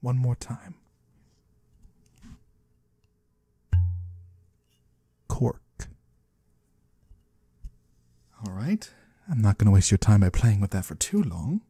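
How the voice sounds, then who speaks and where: A man's voice: on the low side, big pitch swings, normal speed, mellow, husky, no room sound, heavily acted. A man playing a curious otherworldly trader in an ASMR roleplay, musing in quaintly formal words and savoring single ones close to the microphone. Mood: delighted, savoring. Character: curious otherworldly male trader of the shadowy void. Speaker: ASMR performer. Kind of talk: ASMR roleplay, soft slow close speech to the listener. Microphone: close microphone, dry.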